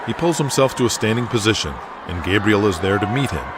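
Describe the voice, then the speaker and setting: A man's voice: on the low side, expressive, fast talker. A man narrating a scripted audio drama. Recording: loud noise behind the voice.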